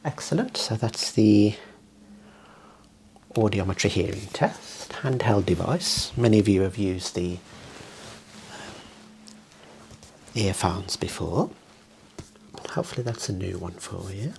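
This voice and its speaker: A man whispering slow, gentle instructions in an ASMR medical exam role play, softly praising between steps. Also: lowish voice, vocal fry, slight background noise. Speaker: ASMR creator playing a clinician.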